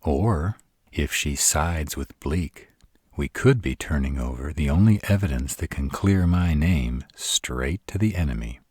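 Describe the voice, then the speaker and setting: Vampire prince played by a man, imperious and strategic, slow delivery, heavily rasped, audio roleplay. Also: a bass voice, lively intonation, a little mellow, no room sound, studio quality, exaggerated voice. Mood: wary. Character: arrogant, commanding vampire prince, wary and strategic.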